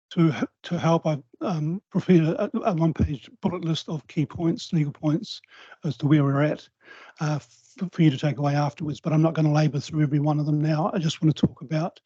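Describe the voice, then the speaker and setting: A New Zealand man speaking at a council public forum, giving a measured, precise legal briefing in a quiet voice. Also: a little high, breathy edge, no room sound. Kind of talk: formal legal presentation. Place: council chamber. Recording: slightly dull, dry council meeting recording.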